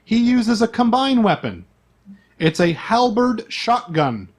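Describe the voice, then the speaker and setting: A man's voice: very high voice, very animated, slow. A man acting as game master, reading out character profiles in an informal, list-like way with asides.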